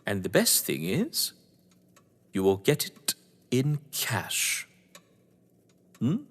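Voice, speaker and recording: An actor playing an urbane, cunning English crime novelist in an audio drama, pompous, condescending and wordy. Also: male voice, medium pitch, huge pitch swings, extremely slow, breathy edge, full character voice. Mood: smug, persuasive. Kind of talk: theatrical audio drama acting. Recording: echoey hall-like reverb.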